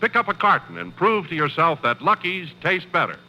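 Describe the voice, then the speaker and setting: A man's voice: high-pitched, lively intonation, fairly quick. An American man announcing in scripted 1950s radio style, with a polished, emphatic sales manner. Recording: dull old radio recording, music behind.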